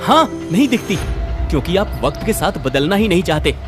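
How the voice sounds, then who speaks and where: A man's voice: high-pitched, sing-song, fairly quick. A man voicing an insistent, modern-minded young son in a cartoon family story, speaking earnestly. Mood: frustrated. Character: frustrated modern-minded young son of village eatery owner. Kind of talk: animated cartoon story dialogue. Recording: music under the voice.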